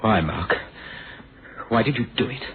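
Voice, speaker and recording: An actor playing an earnest, wounded young man in a suspense radio drama, speaking haltingly and repeating words. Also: on the low side, lively intonation, a crowd in the background. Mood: shocked. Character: troubled, wounded young man with violent past. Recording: noisy phone-like vintage radio recording.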